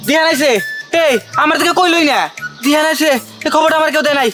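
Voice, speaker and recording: A woman voicing an excitable, inquisitive older father in a cartoon village drama, exclaiming and questioning colloquially. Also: high-pitched, fast talker, over music, lightly acted. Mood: surprised.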